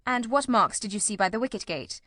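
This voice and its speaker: A woman plays a keen, commanding detective in a storybook reading. The delivery is formal, analytical and inquisitive, at a medium pitch for a female voice, in a dry recording with no room sound. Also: expressive, a little fast, fairly bright tone, no room sound, close to an everyday voice. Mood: probing. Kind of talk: audiobook character reading.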